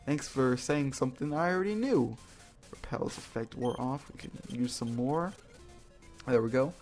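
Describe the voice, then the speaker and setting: A man's voice: mid-range voice, moderate pace, darkish tone, soft background music. A man hosting a video game playthrough with casual, rambling, playful commentary, slangy and often repeating his phrases.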